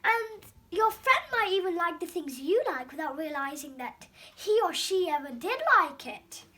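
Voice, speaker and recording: A young girl hosting storytime, reading a children's book aloud with careful, animated expression. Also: very high voice, moderate pace.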